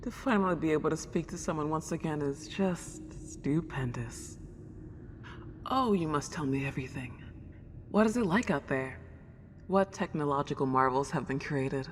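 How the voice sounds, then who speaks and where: Woman acting an excitable ghost girl in long reverb. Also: sits low, moderate pace. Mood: thrilled, curious. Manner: old-fashioned genteel wording, gushing wonder. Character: excitable, polite century-old young ghost girl. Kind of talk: ASMR roleplay acting. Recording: long reverb, clear background noise.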